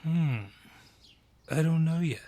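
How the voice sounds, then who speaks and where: A man playing a haughty, sly dryad who rules a forest, taunting and condescending in a breathy, husky voice, in a fantasy audio roleplay. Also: a little high, slow pace, mellow. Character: haughty, sly male dryad ruling a forest, menacing and mocking.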